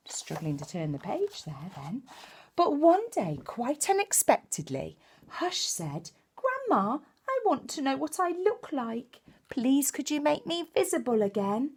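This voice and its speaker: A woman reading a picture book to children like a primary teacher, expressive and exclaiming, with chatty asides. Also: medium pitch, husky. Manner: expressive, exclaiming, with gasps and hushed dramatic moments.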